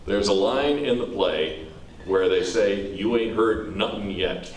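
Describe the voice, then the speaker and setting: A man's voice: fairly low pitch, unhurried. A man moderating a question session with an audience in a theater. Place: theater, before a live audience. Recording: roomy hall, distant mic, faint crowd noise.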